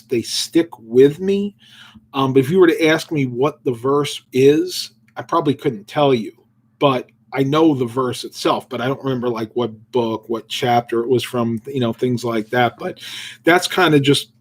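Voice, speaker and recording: A man hosting a livestream, reading Bible verses aloud and then musing loosely about them with fillers, and answering viewers' questions. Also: mid-range voice. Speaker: livestream host. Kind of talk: casual devotional livestream chat.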